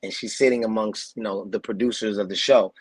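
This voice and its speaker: A man's voice: fairly low pitch, fairly even intonation, brisk, husky, no echo. A man from New Jersey, in his thirties, telling stories in a laid-back, slangy way on a video call interview. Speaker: guest actor. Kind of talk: casual storytelling interview.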